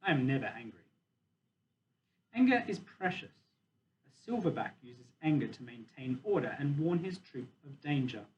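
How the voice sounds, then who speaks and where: A man's voice: mid-range voice, sing-song, natural voice. Man reading as a proud gorilla, distant dull recording. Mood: wistful. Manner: measured, deliberate storybook telling. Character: proud, wistful, dignified captive male silverback gorilla. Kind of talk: children's novel read aloud in first person. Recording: dull, distant mic with faint noise.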